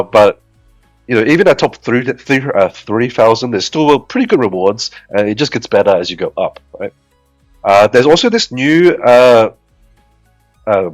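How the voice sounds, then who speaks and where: A man's voice: medium pitch, no echo. A man in a dry, close recording walks through game updates in a casual, rambling way, full of fillers and restarts. His voice is a little nasal from a recent cold. Faint music plays under him. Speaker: gacha game video host.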